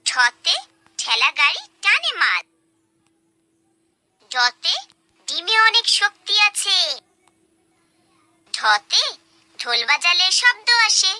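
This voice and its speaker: Very bright, high, singsong woman teaching children. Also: no room sound. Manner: singsong, deliberate enunciation for small children. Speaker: children's alphabet rhyme presenter. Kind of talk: children's nursery rhyme recitation.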